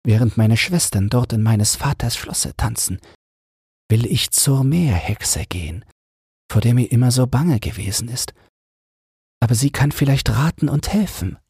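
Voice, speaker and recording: A man voicing a timid, lovesick young mermaid in a fairy tale, speaking softly with anxious resolve. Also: medium pitch, lively intonation, measured pace, husky, no echo, studio quality, pitched above the performer's own voice, heavily acted.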